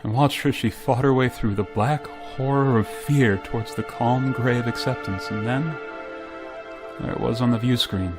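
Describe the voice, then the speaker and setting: An actor playing a weary, stoic spaceship pilot who narrates a science-fiction radio drama in a terse, grave, restrained manner. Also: male voice, mid-range voice, normal speed, over music, lightly acted. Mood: somber narration.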